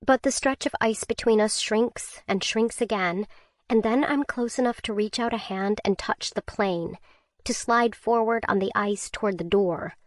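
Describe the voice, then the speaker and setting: A woman narrating a first-person survival thriller audiobook in a tense, measured way. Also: medium pitch, dry recording, clean studio sound.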